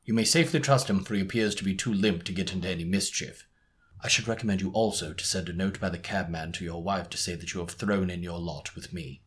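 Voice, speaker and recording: A man voicing a sharp middle-aged detective who speaks with quiet, clipped authority. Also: low voice, fast, husky. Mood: quiet command.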